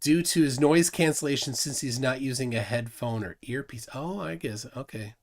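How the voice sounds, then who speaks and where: A man's voice: mid-range voice, normal speed. Chatty man hosting a livestream. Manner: chatty, reads chat comments aloud, laughs often. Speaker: livestream host. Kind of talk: casual livestream chat reading viewer comments.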